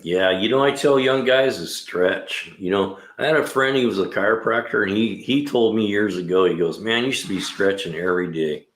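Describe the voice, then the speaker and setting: Deep-voiced man in his sixties, laid-back. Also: normal speed, few pauses. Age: 60s. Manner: laid-back, rambling, "man" and "you know" often. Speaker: livestream host and mechanic. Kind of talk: casual livestream chat. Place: garage. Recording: echoey room.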